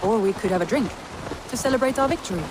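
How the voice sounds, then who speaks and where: A woman's voice: fairly high pitch, fast talker, close to an everyday voice. An actress playing a bold, teasing, mock-formal Norse clan woman in a video game. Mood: excited, playful. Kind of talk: video game dramatic acting. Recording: noisy rain and outdoor ambience behind.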